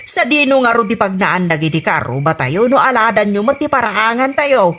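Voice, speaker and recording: A woman's voice: on the high side, lively intonation, normal speed, a bit bright. A woman with an Ilocano accent playing an exasperated, nosy neighbourhood woman in a comedy radio drama.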